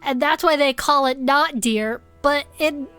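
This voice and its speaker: A woman's voice: high-pitched, a little slow, fairly bright tone, soft background music. A woman voicing a small, chatty creature who co-hosts a comedy fiction podcast in a folksy, matter-of-fact way. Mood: matter-of-fact, amused. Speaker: podcast co-host. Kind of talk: comedic improvised in-character banter.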